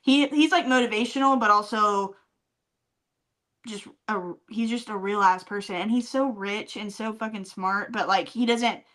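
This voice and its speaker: A woman's voice: medium pitch, moderate pace, fairly bright tone. A woman co-hosting a podcast in casual, playful banter, speaking emphatically and with plenty of slang. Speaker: podcast co-host. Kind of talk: casual banter between friends.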